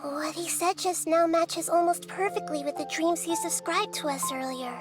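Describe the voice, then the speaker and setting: A woman voicing a wise, gentle little girl goddess in a video game, childlike but measured and thoughtful. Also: very high-pitched. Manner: measured, formal, thoughtful wording. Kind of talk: video game character acting. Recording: background music under dialogue.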